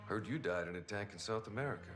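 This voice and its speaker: A man's voice: on the low side, animated, fast talker, close to an everyday voice. An actor playing a no-nonsense male soldier in a game, curt and wary. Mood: wary, dry. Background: cutscene music.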